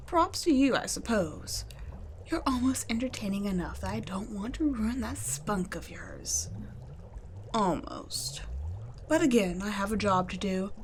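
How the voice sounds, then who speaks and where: A woman voicing a cold, teasing female vampire scientist in an ASMR roleplay, speaking softly and often in a whisper, mocking and condescending. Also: medium pitch, slight background noise. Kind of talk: ASMR roleplay audio drama.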